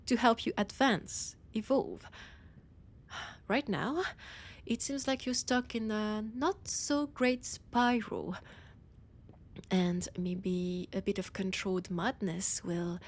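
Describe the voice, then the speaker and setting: Casting: actress as female vampire scholar, French accent, unhurried and husky, condescending menace with teasing whispers, audio drama roleplay. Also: mid-range voice. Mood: lofty, explaining. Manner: slow, teasing, condescending, often dropping to a whisper. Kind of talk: scripted audio roleplay addressed to the listener.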